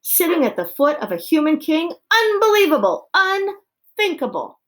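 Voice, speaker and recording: A woman's voice: medium pitch, sing-song, a little fast, a bit bright. A woman voicing an outraged father mouse in a storybook, emphatic, exclamatory and melodramatic. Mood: furious alarm.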